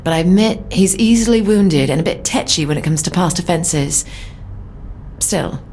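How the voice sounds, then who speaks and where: An actress playing a proud, disciplined British secret agent, formal and composed, in a scripted game audio log. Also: female voice, low voice, a little hiss or hum, lightly acted.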